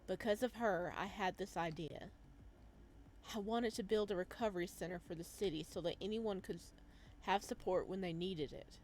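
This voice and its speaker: A woman's voice: medium pitch, moderate pace, a little mellow, slight background noise, close to an everyday voice. A woman voicing a guarded, blunt woman who left a company, wry and matter-of-fact, in a visual novel read-aloud. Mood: earnest. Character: guarded, blunt ex-employee turned community organiser, woman.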